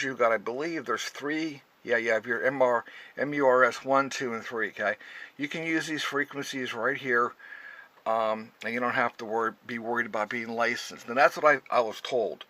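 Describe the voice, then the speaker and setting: A man giving a casual, unscripted how-to tutorial, talking haltingly and often checking in with a short tag word. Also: mid-range voice, sharp tone. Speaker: radio tutorial presenter.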